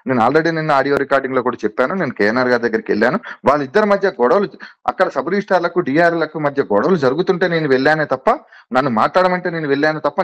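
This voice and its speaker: Rapid-fire, insistent man, slightly high voice. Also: dry recording. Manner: insistent, repetitive, protesting. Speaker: interviewee. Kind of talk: recorded call interview, defending himself. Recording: dull lo-fi call recording.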